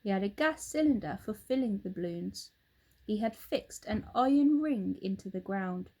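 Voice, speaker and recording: A woman narrating a children's story aloud in an expressive storytelling style. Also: medium pitch, normal speed. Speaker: storybook reader.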